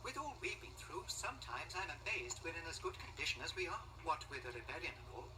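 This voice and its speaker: A man's voice: fairly high pitch, sing-song, quick pace, far from the mic, background noise. An actor playing a fussy, anxious male protocol droid in an audio storybook, formal and deferential. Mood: polite, fussy. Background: loud music.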